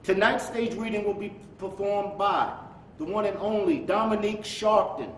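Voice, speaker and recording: In an echoey, somewhat distant recording with faint noise, a man speaks as an event host. His voice is high for a man, and he delivers a formal introduction to a live audience.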